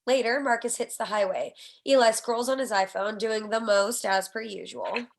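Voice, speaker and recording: A woman's voice: fairly high pitch, steady tone, moderate pace, creaky, slight background noise. Woman narrating screenplay stage directions.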